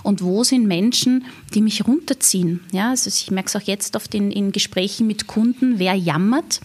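A woman in her forties talks as an interview guest. Her voice is somewhat breathy and sits at a middling pitch, and she speaks earnestly and thoughtfully, often pausing on fillers. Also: darkish tone. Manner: earnest, reflective, with frequent fillers. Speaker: guest, event agency owner. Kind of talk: interview conversation.

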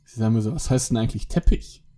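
A man's voice: mid-range voice, rapid-fire, warm tone. A man in casual conversation, telling stories in a rambling way, breaking off and restarting his sentences. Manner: rambling, self-interrupting, laughs at own stories. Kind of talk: casual chat.

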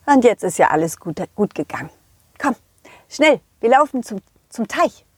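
Woman as a husky, playful storybook dog. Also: on the high side, big pitch swings, moderate pace, no echo, clean studio sound, exaggerated voice. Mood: helpful, caring. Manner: playful, addresses the children directly. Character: confident, loyal, helpful male dog telling his adventure. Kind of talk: children's audio play narration.

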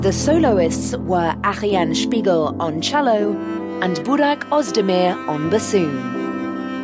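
A woman reading scripted credits as an announcer, in a formal, measured manner. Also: mid-range voice. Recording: loud guitar music under voice.

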